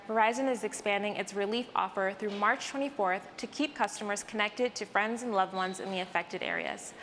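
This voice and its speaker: A woman with an American accent and a fairly high voice presents scripted company news. Her delivery is that of a polished host. The sound carries long reverb and a faint bed of noise. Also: a bit bright. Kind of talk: scripted news update narration.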